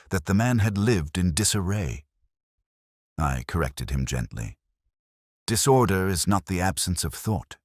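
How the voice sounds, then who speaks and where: Very deep-voiced male narrator, measured. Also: no echo, studio quality. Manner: measured, literary, reflective. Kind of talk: first-person detective story narration.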